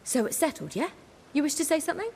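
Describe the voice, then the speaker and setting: An actress playing an excitable, self-assured playwright in a video game, with a theatrical and bossy manner. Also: female voice, high voice, fast, big-room sound. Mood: excited, theatrical.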